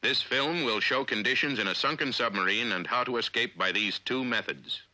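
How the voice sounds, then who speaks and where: An American man narrating a 1950s instructional film in an authoritative, clipped, deliberate manner. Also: medium pitch, pushed and bright, no echo, poor audio.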